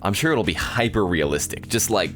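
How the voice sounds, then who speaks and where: Man reading as a sardonic, deep-voiced young hero. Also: lively intonation, fairly quick, fairly bright tone, faint music behind. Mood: dry. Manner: dry, incredulous, narrating. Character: sardonic, disappointed young male isekai protagonist. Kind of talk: visual novel narration and dialogue.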